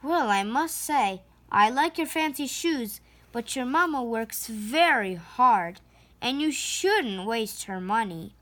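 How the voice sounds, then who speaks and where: Frank, friendly storybook fruit-seller man read by a woman, gently advising, raised pitch. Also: animated, slow. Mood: concerned, advising.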